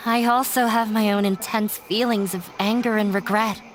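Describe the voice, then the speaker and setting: A woman's voice: medium pitch, fairly even intonation, normal speed, lightly acted. A woman voicing a frank, pessimistic young scholarly woman in a video game, serious and matter-of-fact. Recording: faintly noisy game capture.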